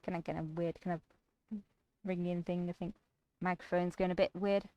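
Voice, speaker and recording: A woman's voice: fairly low pitch, flat delivery, normal speed, warm tone, smooth, no room sound, muffled recording. A woman streaming a game, chatting casually to viewers as she plays. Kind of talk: casual livestream commentary.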